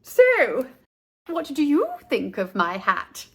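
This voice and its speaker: A woman's voice: high voice, wildly swooping pitch, slow pace, slightly rough, full character voice. A woman voicing a chirpy, vain older lady neighbour in a theatrical, sing-song storybook style. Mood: chirpy, pleased.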